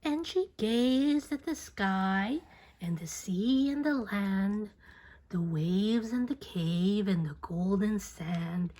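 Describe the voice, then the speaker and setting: A woman reading a children's book aloud as expressive rhyming narration, deliberate and singsong, drawing out words. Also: medium pitch.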